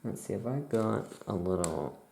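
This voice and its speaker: A soft-spoken ASMR host playing a witch shopkeeper, casually improvising and thinking aloud in an unhurried, rambling way. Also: sits low, animated, vocal fry, slight background noise.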